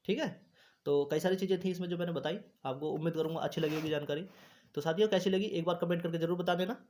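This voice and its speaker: A man's voice: on the high side, fast, darkish tone, a little hiss or hum. A man giving an informal, instructive explainer to exam candidates, repeating points and often checking that listeners follow. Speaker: host of an exam news channel.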